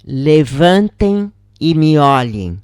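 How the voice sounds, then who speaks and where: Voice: mid-range voice, very animated, very slow, darkish tone, clean tone, no echo, clean studio sound. A narrator voicing a vain, regal male chief of a netherworld city, pompous and imperious in an audio story.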